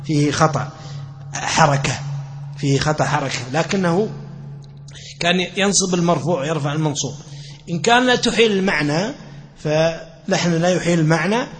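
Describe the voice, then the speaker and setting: A man with a fairly high voice, the teacher in a religious study circle going through an old text, saying key phrases twice over. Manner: repeats phrases for emphasis. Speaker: religious teacher of Islamic law. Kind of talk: explanatory lecture on a classical text.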